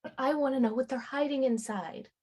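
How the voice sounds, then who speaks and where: A plainspoken character acted by a woman in a staged reading. The voice sits a little high and sounds natural and everyday. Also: fairly quick, mellow. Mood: curious.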